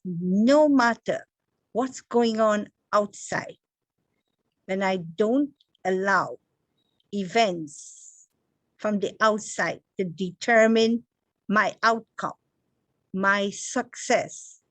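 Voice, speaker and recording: A woman's voice: medium pitch, dry recording. A woman from Guyana, giving an inspirational spiritual talk as a meditation teacher, stressing her words one at a time in emphatic lists.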